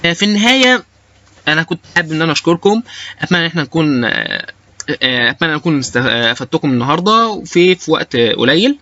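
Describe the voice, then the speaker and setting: An Egyptian man speaks very quickly in a bright, fairly high voice, explaining technical material informally. He mixes English terms into his Arabic and often hesitates and restarts. The recording is close and dry, slightly muffled. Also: sing-song, no echo. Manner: halting, with frequent hesitation sounds and repeated starts. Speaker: lecturer.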